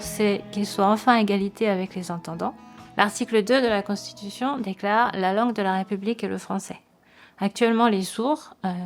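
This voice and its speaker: A woman with a mid-pitched voice speaks clearly and deliberately, with a few small fillers. Light music plays faintly behind her voice. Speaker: interviewee. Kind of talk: documentary interview voice-over.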